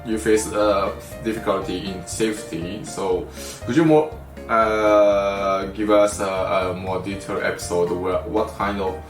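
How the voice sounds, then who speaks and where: A man's voice: sits low, fairly even intonation. Japanese guy hosting a casual interview, japanese accent, roomy sound w faint music. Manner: halting, searching for words in English. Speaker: channel host, interviewer. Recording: distant, echoey, with faint music behind.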